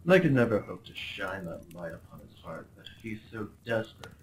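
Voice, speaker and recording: A man's voice: lowish voice, sing-song, brisk, noisy background. A man playing a smug, possessive crown prince in a roleplay audio drama, speaking with formal, condescending politeness and theatrical flair. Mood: wistful storytelling. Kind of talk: roleplay ASMR acting.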